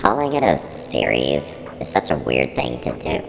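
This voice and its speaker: Cavernous, growling, snarky service unit. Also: male voice, wildly swooping pitch, moderate pace, heavily acted. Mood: scornful, amused. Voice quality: very deep, creaky, heavily reverberant. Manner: blunt, flippant, defensive. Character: snarky, evasive service-unit showrunner from a horror studio. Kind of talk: in-character mock interview. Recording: long reverberant echo, music underneath.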